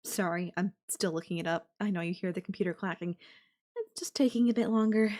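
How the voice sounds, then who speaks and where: A woman playing a clingy, possessive girlfriend who feigns sweet innocence in an audio roleplay, speaking coyly and hesitantly with hums and pauses. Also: on the low side, darkish tone, natural voice. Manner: hesitant, drawn-out, coy delivery with hums and pauses. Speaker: voice actor. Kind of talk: girlfriend audio roleplay, one side of a call.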